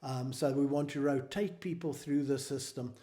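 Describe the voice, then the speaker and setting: South African man, mellow tone. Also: mid-range voice, fairly even intonation. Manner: measured, explanatory. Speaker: charity founder. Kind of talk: interview answers.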